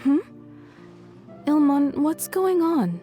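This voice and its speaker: A woman voicing a gentle, loving mother in a video game, tender and soothing. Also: high voice, big pitch swings, takes it slowly, full character voice. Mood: puzzled, soothing. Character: gentle, loving young mother, innocent and reassuring. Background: game music.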